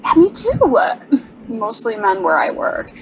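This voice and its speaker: A woman's voice: fairly high pitch, huge pitch swings, measured pace, a little hiss or hum, rough recording. An actress playing a shy young woman sign designer who talks nervously, giggles and apologizes. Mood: earnest. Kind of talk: stage radio drama acting.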